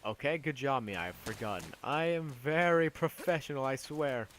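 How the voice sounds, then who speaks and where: A man's voice: mid-range voice, normal speed, a bit bright, slight background noise. A man giving casual, rambling gameplay commentary as a video host, with a self-deprecating manner.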